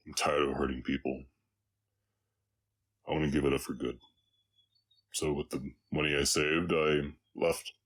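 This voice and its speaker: A man playing a gentle, weary orc cowboy with a slight Southern accent, speaking haltingly and reflectively to a listener in an intimate roleplay audio drama. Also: very deep voice, heavily acted. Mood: solemn, reminiscing. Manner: halting, reflective, soft-hearted, pausing often.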